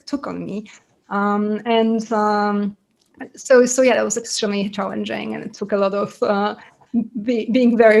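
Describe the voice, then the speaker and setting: This is a woman with a Polish accent who answers an interviewer's questions at length. She speaks in an even, level tone with a gravelly, fry-tinged texture, often restarting a phrase and adding a small laugh. Polish is her first language, and she speaks at a middling pitch. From Poland. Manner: thoughtful, self-correcting, laughs lightly. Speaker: author guest. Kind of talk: podcast interview.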